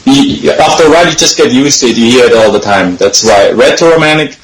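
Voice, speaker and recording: A man from Switzerland with a Swiss accent, calling in to a radio show and answering plainly and calmly. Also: medium pitch, quick pace, reverberant, slight background noise, rough recording. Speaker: caller. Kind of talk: phone-in interview.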